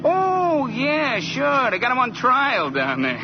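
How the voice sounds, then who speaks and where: A man playing an excitable, slangy working-class postal worker in a 1940s radio comedy-drama. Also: very high-pitched, slow pace, exaggerated voice. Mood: breezy. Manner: slangy, animated, wisecracking. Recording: noisy, dull 1940s radio broadcast.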